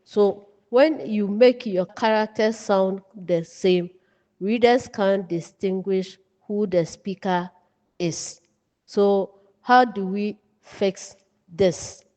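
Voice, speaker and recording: A woman with a Ghanaian accent giving an instructional lecture as a writing teacher, in deliberate, emphatic, measured phrases. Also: medium pitch, steady tone, warm tone. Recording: dull, muffled, long room reverb.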